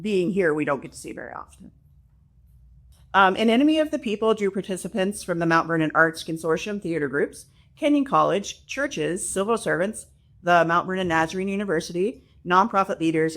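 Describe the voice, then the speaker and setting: Woman, lowish voice, scripted read with friendly offhand comments. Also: lively intonation, brisk, fairly bright tone. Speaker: library assistant director. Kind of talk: reading prepared remarks with casual asides.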